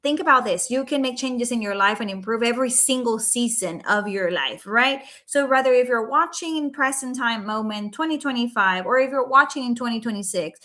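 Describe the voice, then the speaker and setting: A woman's voice: a little high, fairly quick, few pauses, bright, forceful tone. A woman from Puerto Rico with a Puerto Rican Spanish accent, Spanish her first language, hosting a casual live stream from home at night, earnest and chatty with frequent "right?" and "um". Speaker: life coach hosting a livestream.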